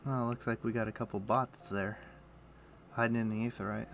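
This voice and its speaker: Low, gravelly male game streamer. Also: little pitch movement, fairly bright tone, slight background noise. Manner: halting, muttering to himself, thinking aloud. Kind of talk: casual live-stream chat while playing an online game. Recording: faint music behind the voice. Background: faint music.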